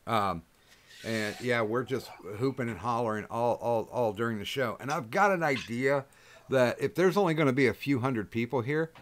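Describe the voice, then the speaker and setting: Casual host: loud-voiced man, swears a lot, rambling. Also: medium pitch, normal speed. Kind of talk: casual chat.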